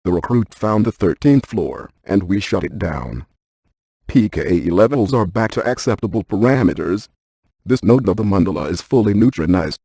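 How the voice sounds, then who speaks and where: A cautious, intellectual ghost-hunting scientist for a video game. The voice is low and mellow with a husky, gravelly grain. He stays deadpan and exact, as if reading out instrument data. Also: normal speed, no echo. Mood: matter-of-fact. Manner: deadpan, precise, technical. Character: brainy, deadpan paranormal scientist man, cautious and precise. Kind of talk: scripted video game character lines.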